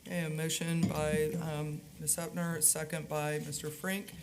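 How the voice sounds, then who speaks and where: A woman chairing a county board meeting in a brisk, joking, informal way. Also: deep voice, little pitch movement, slight background noise. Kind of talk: chairing a public meeting, casual banter. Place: county government meeting room.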